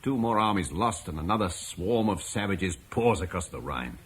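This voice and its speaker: A man's voice: deep voice, lively intonation, normal speed, slight background noise. A grave Roman-British father. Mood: impassioned. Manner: earnest, weighty speech. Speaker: actor in radio drama. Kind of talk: 1950s British radio play acting.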